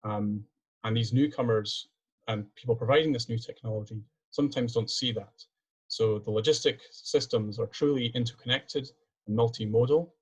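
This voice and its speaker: A man's voice: medium pitch, slightly rough, rough recording. Scottish man presenting on a webinar. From Scotland. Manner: earnest, with frequent restarts and fillers. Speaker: PhD student presenter. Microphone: video call.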